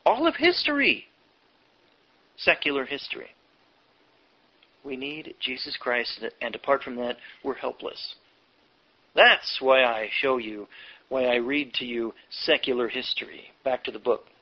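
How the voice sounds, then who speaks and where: A man reading aloud like a preaching commentator, emphatic and deliberate, drawing out words between pauses. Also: mid-range voice, expressive, muffled recording. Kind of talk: reading a history book aloud with preachy asides.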